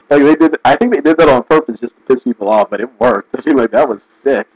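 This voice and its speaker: A man giving casual, rambling commentary, restarting sentences and laughing often, his voice going hoarse. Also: medium pitch, brisk, darkish tone, dry recording, poor audio. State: losing his voice. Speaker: video commentator.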